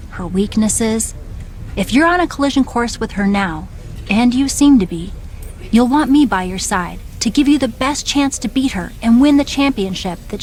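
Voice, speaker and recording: A woman plays a scheming veteran female wrestler in a video game cutscene. Her voice sits fairly high, measured and persuasive, with slight background noise. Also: a little mellow, natural voice.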